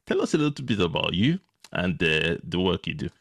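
A man's voice: deep voice, very animated, brisk, gravelly, fairly bright tone, husky, no echo. A man hosting a casual interview podcast, informal and agreeable in his way of talking. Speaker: podcast host.

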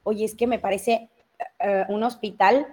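A woman's voice: medium pitch, steady tone, measured pace, dark tone. Chatty Mexican woman hosting a talk show. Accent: Mexico City Spanish. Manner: talkative, many fillers and self-interruptions. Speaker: host. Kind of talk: casual live news chat.

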